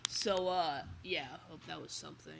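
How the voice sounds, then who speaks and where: A teenage boy with a stuffed nose and slightly raspy voice, rambling in casual chat as a toy collector video host. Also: fairly high pitch, fairly even intonation, moderate pace, very noisy. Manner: rambling, restarts often, chatty. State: stuffy nose, under the weather.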